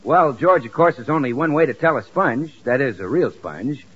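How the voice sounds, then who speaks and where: An actor playing a cheerful, evasive announcer friend in a 1940s radio comedy, with an upbeat, smooth-talking salesman's manner. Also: mid-range voice, lively intonation, moderate pace, a bit bright. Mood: affable, evasive. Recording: muffled vintage 1940s radio recording.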